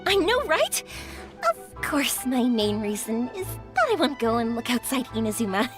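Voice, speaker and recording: A woman playing a bubbly, warm-hearted young fireworks maker in an English video game, chatting in a breezy, excitable way. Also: fairly high pitch, moderate pace. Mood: bubbly, chatty. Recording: music behind the voice.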